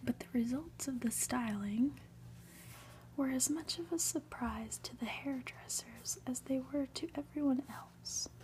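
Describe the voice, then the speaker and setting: A woman whispering an ASMR reading of a story in a gentle, hushed manner, with soft asides. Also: high voice, unhurried, slight background noise, low-quality recording. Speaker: ASMR reader and host.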